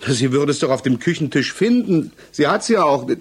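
An actor playing a troubled, defensive suspect under interrogation in a German radio play, justifying himself haltingly. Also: male voice, on the high side, lively intonation, slightly rough.

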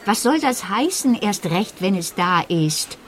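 An actress playing a sharp-tongued young pregnant wife, insistent and exasperated, with emphatic, drawn-out questioning in a radio play. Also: female voice, medium pitch, big pitch swings, a little hiss or hum. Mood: exasperated, defiant.

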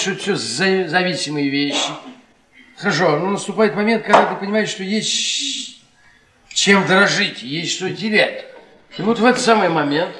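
A high-voiced, sardonic jaded professor. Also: male voice. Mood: bitter, halting. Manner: pedantic, needling, hesitant pauses. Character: defeated, sardonic history professor, jaded husband. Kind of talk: Soviet radio theatre acting. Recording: echoey distant stage recording, clear hiss.

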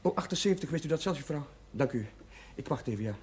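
Flustered young chaplain, played by a man, higher-pitched, hissy recording. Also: lively intonation, a little mellow, lightly acted. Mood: flustered, urgent. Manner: agitated, halting, repeating himself. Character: flustered, caring young chaplain woken at night. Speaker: actor in a television drama series. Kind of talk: naturalistic television drama acting. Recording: noisy, hissy old television soundtrack.

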